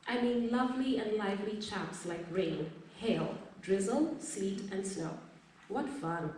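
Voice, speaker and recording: A woman's voice: medium pitch, animated, measured pace, a little mellow. Female guest reader, literary recitation, strong room echo, set back from the mic. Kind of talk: reading a literary piece aloud. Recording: very echoey room, distant mic, faint noise.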